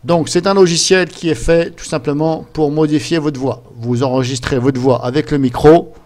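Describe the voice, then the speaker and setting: A man presenting a casual screen-capture software tutorial, explaining things step by step in an informal, chatty way. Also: fairly high pitch, fairly even intonation, normal speed. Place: voice-over recorded at a computer during screen capture.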